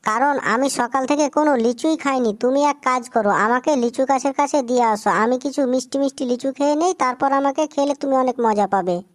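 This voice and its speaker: A clever little cartoon monkey, acted by a woman, high in pitch and recorded very dry. It talks in a spirited, plain-spoken way, friendly yet careful. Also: brisk, no room sound, natural voice. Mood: cunning. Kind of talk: cartoon moral-tale character acting.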